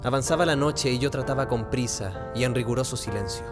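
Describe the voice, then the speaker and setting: A man playing a nervous, obsessive madman confessing a murder in a theatrical, deliberate radio play monologue. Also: medium pitch, music in the background, lightly acted. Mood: smug, cold. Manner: theatrical, deliberate, halting phrasing. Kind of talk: dramatic radio play monologue, gothic horror narration.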